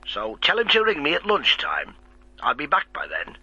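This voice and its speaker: An actor in a radio drama playing a gruff middle-aged police inspector with a clipped, wry manner. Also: male voice, a little high, huge pitch swings, normal speed, bright, forceful tone, muffled recording, full character voice. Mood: dry, businesslike.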